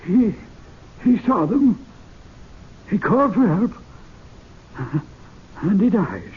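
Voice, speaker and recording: A jittery club gentleman in an old radio play. The voice is high and leaps around in pitch, with stammered, repeated words. The recording is dull with faint hiss. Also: very animated, takes it slowly, exaggerated voice. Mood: shaken. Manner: stammering, agitated. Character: flustered, excitable gentlemen's club member, nervous and stammering. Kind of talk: old-time radio drama acting.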